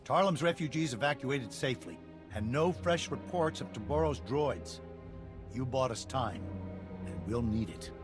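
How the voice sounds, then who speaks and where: A man voicing a wry, concerned rebel colleague in a sci-fi game, in a measured scripted delivery. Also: mid-range voice, expressive, over music, natural voice. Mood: relieved.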